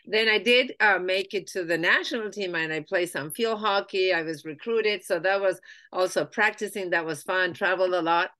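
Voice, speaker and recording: A woman's voice: on the low side, moderate pace, bright, forceful tone. A woman in her fifties from Argentina, speaking, chatting as a guest about her life story with lots of restarts and fillers. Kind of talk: conversational life-story interview.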